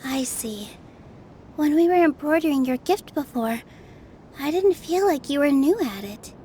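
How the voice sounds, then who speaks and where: An actress playing a gentle, loyal young female dancer in an anime game dub, speaking earnestly and reassuringly. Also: sits high, expressive, unhurried, a little hiss or hum. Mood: sympathetic. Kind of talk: English-dubbed anime game voice acting.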